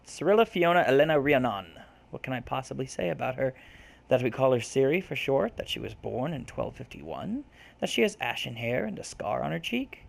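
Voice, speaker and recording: A man's voice: mid-range voice, animated, fairly quick, gravelly. A man hosting a let's play, musing aloud informally and reading game text out. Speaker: gaming video host.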